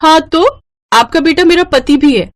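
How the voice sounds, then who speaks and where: A woman voicing an exasperated but dutiful young daughter-in-law in an animated story, with an emphatic, complaining manner. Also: sits high, unhurried, pitched above the performer's own voice. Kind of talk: animated moral-story cartoon voice acting.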